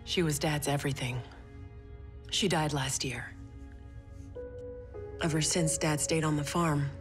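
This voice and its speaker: An actress playing a young woman soldier, speaking softly and steadily in a video game cutscene. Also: low-pitched, steady tone, measured pace, soft background music. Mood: earnest.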